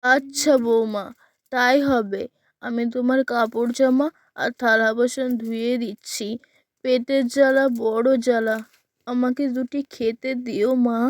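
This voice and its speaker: A woman's voice: fairly high pitch, fairly even intonation, measured pace, no room sound, natural voice. Brief: frail old cartoon mother, put on by a woman, meek and pleading. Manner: pleading, gentle, weary.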